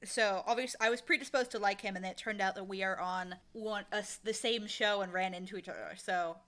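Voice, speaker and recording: A woman speaking casually as an interview guest, animated and emphatic, with a playfully blunt way of talking. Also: mid-range voice, a little fast. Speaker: interview guest, audio drama creator and casting director.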